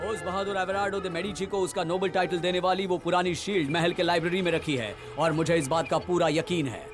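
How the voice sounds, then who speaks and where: A scheming cartoon captain, acted by a man, barks orders in a high-pitched voice. He is impatient and short-tempered, scolding and commanding his crew. Music plays behind him. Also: fairly even intonation, a little fast, close to an everyday voice. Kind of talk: Hindi-dubbed cartoon villain acting.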